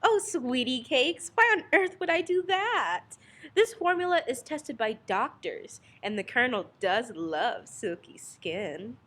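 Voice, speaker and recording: A scheming belle in a radio melodrama parody. Her voice is fairly high and husky. The pitch swoops theatrically as she piles on the sweetness. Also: lively intonation, a little slow, a bit bright, heavily acted. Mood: sugary, insistent. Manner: honeyed, theatrical delivery with endearments. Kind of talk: satirical radio play acting.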